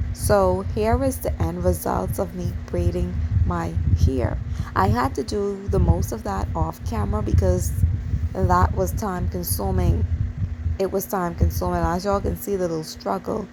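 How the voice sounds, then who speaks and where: A Bahamian woman talks viewers through a hair tutorial in a chatty, repetitive way. Her voice has a gravelly fry to it, and clear background noise sits under the recording. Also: mid-range voice. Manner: chatty, repeats herself, addresses viewers as you guys. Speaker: channel host.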